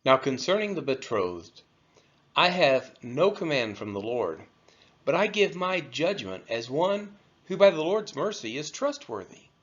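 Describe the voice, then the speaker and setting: A man with a slightly high voice reads scripture aloud. His intonation swings widely, with measured, emphatic phrasing. The recording sounds somewhat dull and band-limited. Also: sing-song. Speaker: scripture reader.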